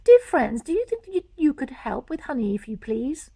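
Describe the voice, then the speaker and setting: A woman's voice: sits high, big pitch swings, normal speed, creaky, dark tone, slightly rough, dry recording, voice pushed up, exaggerated voice. A woman voicing a gallant, eager fox husband in a storybook, courteous and full of exclamations. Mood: eager, polite.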